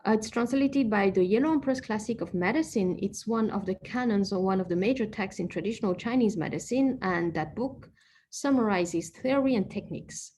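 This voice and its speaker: A woman's voice: mid-range voice, normal speed, darkish tone, a little hiss or hum, poor audio. A woman acupuncturist giving an informal online talk, explaining patiently with frequent fillers and self-corrections. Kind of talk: informal lecture with slides.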